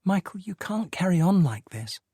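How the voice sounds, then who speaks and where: A man's voice: high-pitched, big pitch swings, moderate pace, mellow, husky, no echo, studio quality, voice pushed up, heavily acted. A man reading aloud, voicing an anxious adult woman who speaks in a pleading, agitated way. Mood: exasperated.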